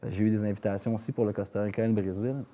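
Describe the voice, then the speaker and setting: A man's voice: on the low side, level tone, racing, mellow. A man with a Quebec French accent speaking casually in an interview as a singer-songwriter, often repeating words as he searches for phrasing.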